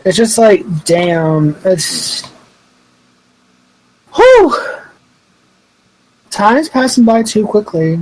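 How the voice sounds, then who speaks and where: A high-pitched young man in his twenties co-hosts a podcast. He rambles through loose casual chat and drops curse words freely. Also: big pitch swings, drawn-out words, pauses often, slight background noise, poor audio. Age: 20s. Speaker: podcast co-host.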